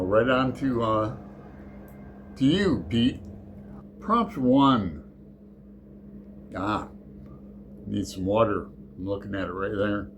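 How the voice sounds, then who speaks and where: A man hosting his book channel in a casual, rambling chat, halting and restarting his sentences often. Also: medium pitch, slight background noise.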